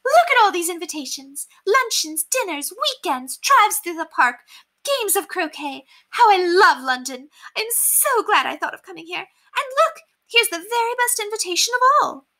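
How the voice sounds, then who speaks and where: A vain, boastful aristocratic lady from a storybook gushes in a high, swooping voice, as a woman reads her part. Her tone is bright and sharp, and each exclamation leaps upward. She sounds thrilled with herself and her own importance. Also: expressive, fairly quick, exaggerated voice. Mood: gushing.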